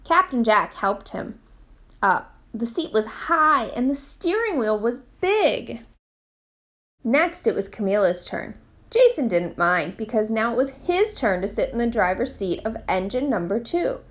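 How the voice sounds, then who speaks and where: A woman reading a children's picture book aloud in an expressive storytime style. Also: fairly high pitch, unhurried. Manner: expressive read-aloud delivery. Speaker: storytime reader, literacy program manager.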